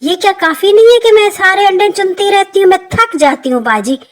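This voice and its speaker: A woman's voice: very high-pitched, lively intonation, a little fast, voice pushed up. A woman voicing a touchy young sheep sister in a children's cartoon story, whiny and argumentative. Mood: annoyed.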